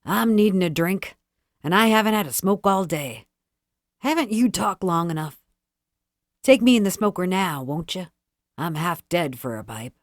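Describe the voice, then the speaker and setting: A woman plays a glum-faced, shrewd male prisoner from a classic short story. He talks bluntly and folksily, pleading his case in a low-pitched voice, recorded close and dry. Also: expressive, moderate pace, pauses often, dry recording, natural voice. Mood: complaining.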